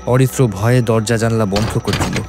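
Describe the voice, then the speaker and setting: Low-voiced man narrating horror over music. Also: fairly even intonation. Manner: suspenseful, measured phrasing. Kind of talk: scripted horror story narration. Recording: faint music behind.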